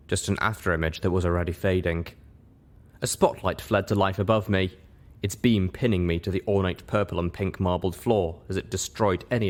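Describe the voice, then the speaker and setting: A man reading scripted fiction aloud as an audiobook narrator. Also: deep voice. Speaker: audiobook reader.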